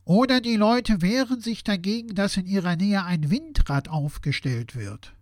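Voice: medium pitch, moderate pace, darkish tone, no room sound, clean studio sound, close to an everyday voice. A performer playing a sober, skeptical conversation partner who cites facts in a scripted satirical dialogue. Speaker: audio play dialogue speaker.

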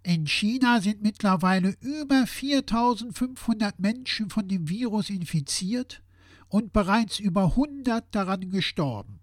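Performer as skeptical fact-citing partner, sober, measured pace, animated intonation, scripted satirical audio play. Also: medium pitch, dry recording, studio quality, natural voice. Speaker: audio play dialogue speaker. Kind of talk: scripted satirical dialogue on current affairs.

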